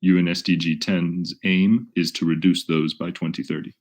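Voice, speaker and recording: Very low-voiced man leading a webinar. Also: level tone, normal speed, darkish tone. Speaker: webinar facilitator. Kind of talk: unscripted webinar teaching, posing questions to participants.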